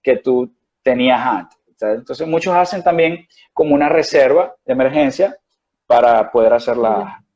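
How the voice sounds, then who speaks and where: A man's voice: medium pitch, normal speed, darkish tone, muffled recording. A man with a Venezuelan accent, a guest doctor explaining things in a rambling way with many restarts and fillers. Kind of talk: conversational interview.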